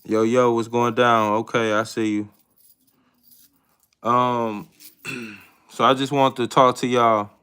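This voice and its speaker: A man in his thirties hosting a casual live chat with viewers, talking in a hesitant, reflective way with many pauses and restarts. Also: mid-range voice, level tone, sharp tone, smooth. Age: 30s. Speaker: livestream host.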